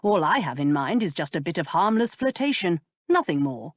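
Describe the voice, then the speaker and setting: A woman voicing a teasing aristocratic male earl who speaks in an unhurried, amused drawl. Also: on the low side, big pitch swings, dry recording.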